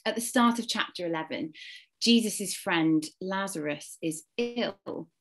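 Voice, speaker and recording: A woman from Wales with a slight Welsh accent, giving an earnest, expressive Easter talk to a church congregation. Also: mid-range voice, unhurried, low-quality recording. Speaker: church speaker.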